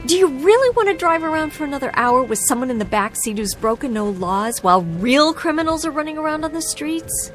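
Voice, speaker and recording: A woman's voice: high-pitched, very animated, a little fast, hardly any pauses, faint music behind. An actress in a radio drama playing a distraught young woman who pleads, protests and insists with agitation. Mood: indignant.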